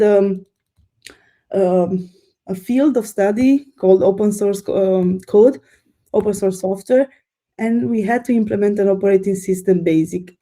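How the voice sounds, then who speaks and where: A woman's voice: mid-range voice, dark tone. A woman in her thirties with a Romanian accent, a guest telling stories eagerly in a casual interview. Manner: fast, eager storytelling with fillers.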